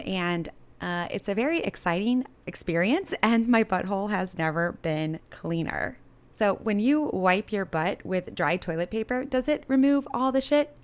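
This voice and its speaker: Female podcast host, casual and conversational, cheeky and crude in tone. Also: medium pitch. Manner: informal, playfully crude. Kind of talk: casual, chatty podcast hosting.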